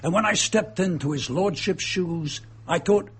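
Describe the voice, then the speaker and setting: A man acting in a radio drama as a gloating, bitter murderer and impostor, with a husky, gravelly voice and a sneering, darkly amused manner. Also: high-pitched, measured pace, full character voice. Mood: sardonic.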